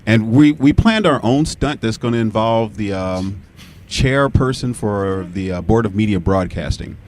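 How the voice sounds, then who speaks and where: Man, lowish voice, faint background noise, radio co-host, casual chatty questioning. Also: unhurried, a little mellow. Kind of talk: casual interview chat. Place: radio studio.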